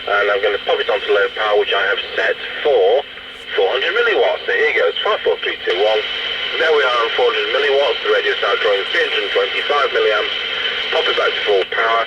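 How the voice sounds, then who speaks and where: A man's voice: on the low side, lively intonation, brisk. British man on CB radio, heavy static. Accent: British English. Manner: matter-of-fact radio procedure. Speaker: radio repair workshop host. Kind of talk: CB radio test commentary. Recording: distant, muffled, loud radio noise.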